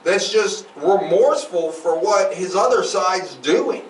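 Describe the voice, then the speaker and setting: A man's voice: high-pitched, animated, takes it slowly. A man hosting a true crime podcast, telling the story in a casual, emphatic and profane conversational way. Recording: roomy, distant mic, faint noise.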